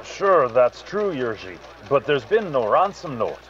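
A man playing an excitable, kindly Irish sidekick with an Irish accent in a radio mystery. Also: mid-range voice, a little slow. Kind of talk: radio mystery play acting. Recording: dull lo-fi video-call sound.

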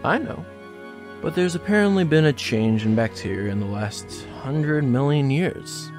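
A man's voice: fairly high pitch, animated, a little slow, over music. A man playing a plainspoken park ranger in an audio drama, matter-of-fact with dry humour.

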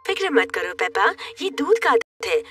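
A woman voicing a gentle, reassuring mother pig in a children's cartoon, soothing and singsong. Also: on the high side, big pitch swings, moderate pace, husky, no room sound, recorded from a distance, faint music behind, full character voice. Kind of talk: children's cartoon dub dialogue.

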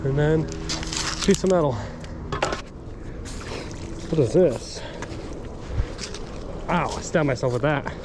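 A man with a slightly raised pitch narrates his finds in a casual, upbeat way as he fishes by a creek. The outdoor recording from an action camera carries clear background noise. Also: slow pace, pauses often. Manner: upbeat running commentary on finds. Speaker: magnet fishing host. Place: outdoors by a creek under a bridge.

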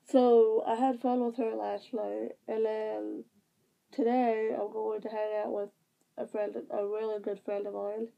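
A woman's voice: on the high side, little pitch movement, measured pace, vocal fry. A young woman with a stuffy nose, rambling casually through a vlog update while lying on her bed. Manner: rambling with many fillers and restarts. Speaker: vlogger. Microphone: device camera at close range. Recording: tinny phone-like sound.

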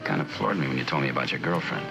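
A middle-aged actor playing a weary, plain-spoken loyal friend in a 1960s television drama, laconic and understated. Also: male voice, a bass voice, animated, very fast, vocal fry, muffled recording, music in the background, exaggerated voice. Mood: subdued, wry.

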